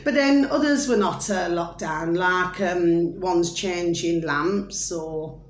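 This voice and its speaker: A woman, chatting loudly and ramblingly to her viewers as she talks through videos, with lots of fillers and repeated asides. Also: on the low side, slow pace. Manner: loud, chatty, rambling, keeps addressing viewers with a pet phrase. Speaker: vlogger reviewing videos. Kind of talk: rambling casual commentary to viewers. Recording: echoey room with faint noise.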